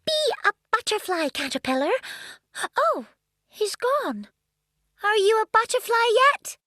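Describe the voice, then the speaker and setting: Very high-pitched, eager little girl. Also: a little slow, no room sound, studio quality, exaggerated voice. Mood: impatient, curious. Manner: excitable, childlike, insistent. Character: eager, impatient little girl in a children's cartoon script. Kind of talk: children's audio drama performance.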